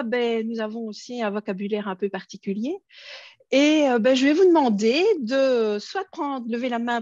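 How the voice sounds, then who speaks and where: A woman's voice: a little high, darkish tone, no echo, lo-fi sound. A woman with a Belgian French accent presenting an online workshop, friendly, with many hesitations and fillers.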